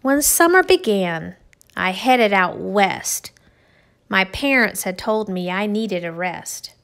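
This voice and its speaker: A woman reading a children's storybook aloud with expressive, deliberate, theatrical phrasing. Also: medium pitch, gravelly. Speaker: storybook reader.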